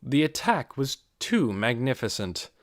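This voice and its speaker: A man's voice: medium pitch, sing-song, measured pace, no room sound, clean studio sound. A man reading a fantasy audiobook as dramatic scripted narration.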